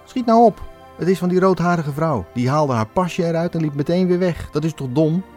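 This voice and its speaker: A man's voice: on the high side, animated, fairly quick, a little mellow, soft background music, voice pushed up, natural voice. Brief: a pushy, blunt talking cash machine in a storybook, played by a male narrator. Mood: urging. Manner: blunt, coaxing.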